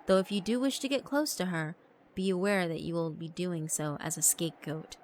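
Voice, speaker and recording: A woman's voice: lowish voice, a little fast, darkish tone, a little hiss or hum, lightly acted. A woman voicing a scheming yokai sister who taunts and gloats in a theatrical, cackling manner. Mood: gleeful. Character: gleeful scheming yokai sister, cruel and mocking.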